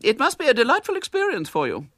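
A man voicing a prim, fussy spinster lady in a storybook reading, with a high, fluttering and hesitant manner. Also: wildly swooping pitch, fast talker, bright, forceful tone, no room sound, clean studio sound, full character voice.

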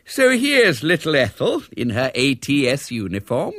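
A gentle old schoolmaster from a storybook, acted by a male reader. He dithers kindly and talks down a little, his pitch raised and moving about freely. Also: sing-song, takes it slowly, creaky, no room sound, studio quality, full character voice. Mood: kindly, uncertain. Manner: hesitant, kindly, patronising. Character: kindly old schoolteacher, fussy, uncertain and mild.

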